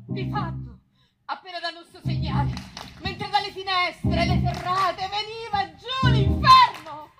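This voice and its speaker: Fiery woman war narrator, really high pitched, stagey and emphatic, loud live music behind. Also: measured pace. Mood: breathless, fierce. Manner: declamatory, emphatic. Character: impassioned woman narrating a desperate battle for the Republic. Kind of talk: dramatic stage recitation over music. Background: live musical accompaniment.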